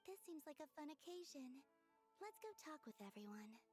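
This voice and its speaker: An actress playing a gentle, candid, innocent young woman in a video game. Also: high voice, big pitch swings, normal speed, music in the background. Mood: pleasant. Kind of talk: game voice acting.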